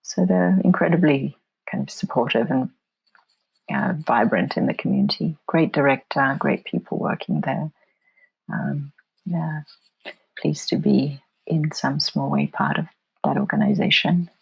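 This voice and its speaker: A middle-aged woman from Poland, an interview guest talking reflectively in a soft, thoughtful way that often drifts into whispery asides. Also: very deep voice, wildly swooping pitch, a little slow, creaky, breathy edge, no room sound, muffled recording. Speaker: interview guest, photomedia artist.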